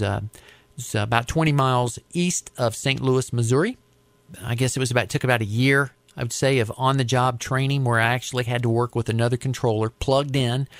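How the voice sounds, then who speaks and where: An American man recounting his work as an air traffic controller in a conversational, deliberate, explanatory way. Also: medium pitch, dry recording. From United States. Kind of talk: conversational storytelling interview.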